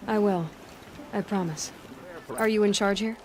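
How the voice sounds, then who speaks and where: A woman's voice: mid-range voice, fairly even intonation, unhurried, lightly acted. Guarded young huntress voiced by a woman, noisy backdrop. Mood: guarded, determined. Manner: direct, probing, wary. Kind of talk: video game dialogue acting.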